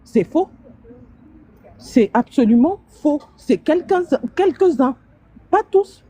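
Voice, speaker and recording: Mellow-voiced woman arguing from the street. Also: high-pitched, lively intonation, slow. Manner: argumentative, stumbling restarts and repeated words. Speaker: caller on a live stream. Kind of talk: heated casual debate. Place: outdoors on a street.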